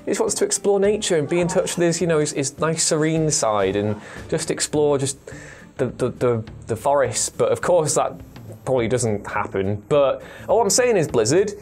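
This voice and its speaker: A man's voice: a little high, animated, moderate pace, darkish tone, soft background music. A man chatting casually as a panellist, rambling through his opinions with enthusiasm and slang. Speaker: panellist on a gaming show.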